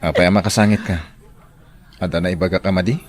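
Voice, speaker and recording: A man's voice: low voice, fairly even intonation, quick pace. An actor playing a polite young man who yearns for his family, in an Ilocano radio drama. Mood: puzzled.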